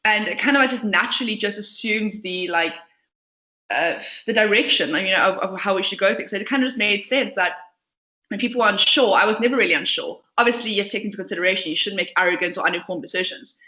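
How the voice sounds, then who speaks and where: A woman's voice: mid-range voice, quick pace, pushed and bright. A young woman, a company founder, talking passionately and at length as a podcast guest. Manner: passionate, chatty, outspoken. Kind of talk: podcast interview. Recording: echoey room.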